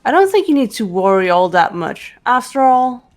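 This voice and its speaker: A woman voicing a cool, determined teenage detective girl in a visual novel game, measured and reserved. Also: a little high, sing-song, soft background music. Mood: cool persuasion.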